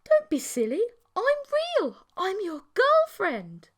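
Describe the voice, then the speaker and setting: A woman acts out an excitable creature girlfriend from an audiobook story. Her voice is very high and swoops around in a sing-song way. She is teasing, bubbly and bossy. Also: takes it slowly, exaggerated voice. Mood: playful, insistent.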